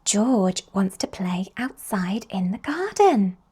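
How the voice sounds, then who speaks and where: Animated, slow-paced woman reading children's stories. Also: mid-range voice, slightly rough. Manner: expressive, slow storytelling with drawn-out exclamations. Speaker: children's storybook reader and host. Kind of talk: animated read-aloud of picture books for young children.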